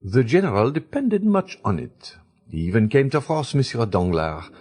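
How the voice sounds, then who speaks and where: A man voicing a frank, worldly middle-aged French baroness in a raised, lilting falsetto, reading an audiobook. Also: sing-song, normal speed, a little mellow. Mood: chatty.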